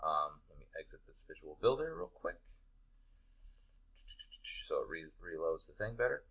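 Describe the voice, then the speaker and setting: A man's voice: a bass voice, sing-song, moderate pace, lo-fi sound. A man teaching a class, talking through an assignment informally in an easygoing, colloquial way. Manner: easygoing, colloquial, laid-back phrasing. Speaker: instructor of an animation class. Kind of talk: informal spoken assignment walkthrough.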